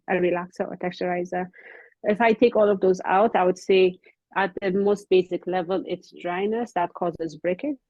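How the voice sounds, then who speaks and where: A woman from Ethiopia, a company founder, explaining hair care in an earnest way, often pausing with ums, over a video call. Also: fairly low pitch, no room sound. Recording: dull, slightly lo-fi call audio.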